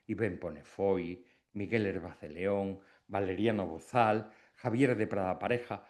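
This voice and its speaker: A middle-aged man from Extremadura giving a formal academic induction speech, reflective, with pauses between phrases. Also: on the low side, darkish tone. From Extremadura, Spain.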